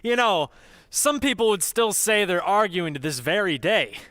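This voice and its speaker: A man's voice: high-pitched, lively intonation, normal speed, a bit bright, no echo, studio quality. A young man announcing an old-time radio show in a scripted, folksy style.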